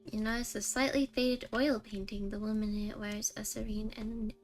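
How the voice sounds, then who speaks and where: A woman's voice: mid-range voice, level tone, normal speed, soft background music. A woman game streamer talking casually on a live stream and reading game text aloud.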